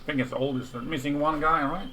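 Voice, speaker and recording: A man's voice: fairly high pitch, a little fast, background noise. A man with a Swedish accent, casually chatting with his viewers on a live game stream, with frequent restarts. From Sweden. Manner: relaxed, repeats words and restarts, talks to chat.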